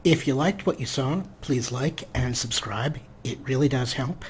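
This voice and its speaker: A man's voice: mid-range voice, a little hiss or hum. A man hosting a let's play, giving casual, wry gameplay commentary as if half talking to himself. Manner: wry, unhurried, talks to himself.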